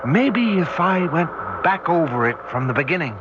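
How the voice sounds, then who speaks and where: An actor playing a troubled, thoughtful scientist, introspective and dramatic in 1960s radio drama. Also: male voice, a little high, very animated, normal speed, heavy background noise. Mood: uneasy, searching. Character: troubled, thoughtful male scientist recounting his memories. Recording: muffled old radio, music behind.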